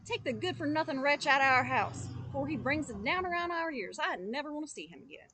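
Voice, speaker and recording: A woman voicing a frantic, accusing village wife in a storybook reading, her voice pushed high and loud. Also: expressive, fairly quick, over music. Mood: furious. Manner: shrill and accusing. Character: frantic shrill village wife, middle-aged.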